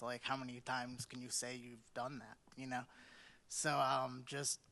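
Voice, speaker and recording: Flat-toned bright young man. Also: medium pitch. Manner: advice-giving, casual. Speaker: student. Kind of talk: panel discussion.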